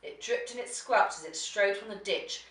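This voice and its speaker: A woman reading a children's picture book aloud as an expressive storyteller. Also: mid-range voice, moderate pace. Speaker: story reader for young children. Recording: roomy echo, slightly distant mic.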